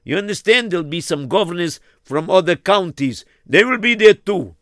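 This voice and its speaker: A man's voice: high-pitched, animated, normal speed, fairly bright tone, no room sound, clean studio sound. A man putting on a pompous coaxing count. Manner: broken English, grand and coaxing. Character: pompous male count, eager nobleman in uniform. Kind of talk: acted storytelling.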